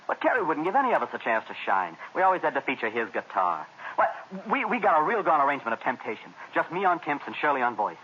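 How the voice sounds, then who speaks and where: An actor playing an excitable young jazz band drummer who talks in eager, chatty jive slang, in 1940s radio acting. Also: male voice, on the high side, quick pace, few pauses, breathy edge, full character voice. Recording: old radio broadcast, dull with faint hiss.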